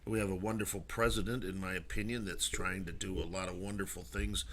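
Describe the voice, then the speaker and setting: A man hosting a talk radio show, speaking conversationally in a halting, earnest way. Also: low-pitched, level tone, a bit bright, slight background noise.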